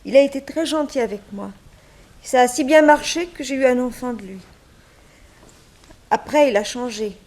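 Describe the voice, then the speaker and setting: Wronged young servant mother, higher voice, resigned and plaintive, plain speech, vintage radio drama. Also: normal speed, long pauses, darkish tone. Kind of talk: 1960s French radio drama acting.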